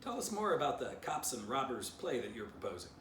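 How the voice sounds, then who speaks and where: A man reading a storybook aloud as a patient, tactful male dog leader, measured and reassuring. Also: medium pitch, big pitch swings, fairly bright tone, roomy sound, recorded from a distance, a little hiss or hum, voice pushed up. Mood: patient, puzzled.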